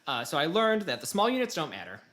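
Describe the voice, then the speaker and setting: A man's voice: on the high side, huge pitch swings, quick pace, fairly bright tone. A man co-hosting a casual podcast, telling an exasperated, fired-up story about video games. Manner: fired up, exasperated, mimics game prompts. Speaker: podcast host.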